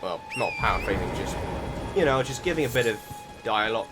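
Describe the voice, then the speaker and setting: A man giving casual gameplay commentary, rambling and halting, often correcting himself as he goes. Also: on the low side, expressive. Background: game music.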